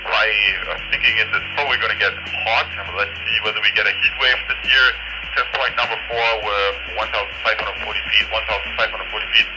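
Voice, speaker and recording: A man with a fairly low voice talks through a casual product test. He speaks directly to viewers and tends to repeat his phrases. Faint music plays behind him. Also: steady tone, fairly quick, rough recording. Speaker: product reviewer, host. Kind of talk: casual product review and radio range test commentary.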